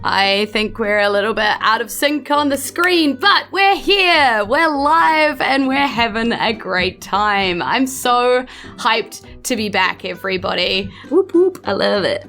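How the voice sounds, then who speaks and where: A woman's voice: on the high side, lively intonation, slow, pushed and bright, faint music behind. A woman with a New Zealand accent, hosting and narrating a tabletop game in a playful, informal way. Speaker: dungeon master, host. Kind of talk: chatty game narration, recapping a tabletop adventure.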